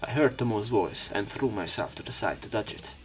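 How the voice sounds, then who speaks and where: A man narrating a visual novel aloud as a let's play, reading haltingly and slipping into casual commentary. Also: on the low side, lively intonation. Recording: game music behind.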